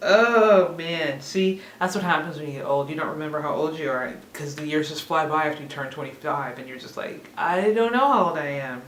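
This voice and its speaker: A woman's voice: sits low, fairly quick, few pauses, a bit bright, far from the mic. A woman in her thirties talks casually and rambles, often laughing at herself. She sits a little way from the mic in an echoey room. A faint hiss runs under her voice. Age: 30s. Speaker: vlogger. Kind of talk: casual chat to camera.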